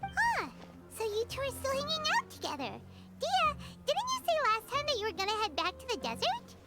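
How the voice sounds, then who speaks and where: Picture a tiny fairy girl in a game, squeaking far above any adult and bouncing wildly up and down in tone. She is excitable and greedy for good food. Loud game music plays under her. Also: a little fast, exaggerated voice. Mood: chirpy. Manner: excitable, refers to herself by name. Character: tiny bubbly female fairy companion, food-loving. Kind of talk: game voice acting.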